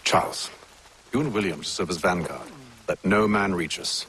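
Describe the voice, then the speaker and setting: Low-voiced, commanding gentleman leader played by a man. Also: heavy background noise, natural voice. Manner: measured, authoritative, formal. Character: composed, commanding gentleman leader of a secret band. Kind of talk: dramatic video game acting.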